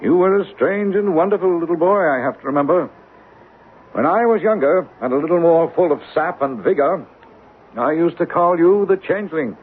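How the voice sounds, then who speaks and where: Husky, crusty old gentleman. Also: on the high side, animated, normal speed. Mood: dry, wistful. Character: crusty old Yankee banker grandfatherly uncle, dry. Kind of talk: old-time radio drama acting. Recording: tinny vintage radio broadcast.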